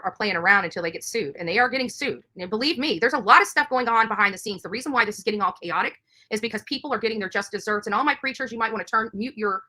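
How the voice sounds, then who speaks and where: A woman's voice: medium pitch, bright, forceful tone. A woman live streamer ranting emphatically and indignantly, repeating herself for emphasis. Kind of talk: impassioned live stream rant.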